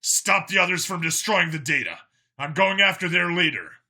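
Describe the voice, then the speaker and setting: A man's voice: high-pitched, expressive, normal speed, pitched above the performer's own voice, full character voice. A man voicing a determined, commanding young male soldier in a science fiction audiobook. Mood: urgent, defiant. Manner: forceful, commanding. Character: Vincent, determined young male soldier clone, gruff and urgent.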